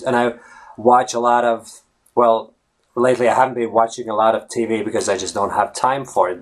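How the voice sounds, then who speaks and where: Rambling man coaching English. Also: medium pitch. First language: Latvian. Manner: rambling, veers off topic, tags lines with "right?". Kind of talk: casual talk to camera.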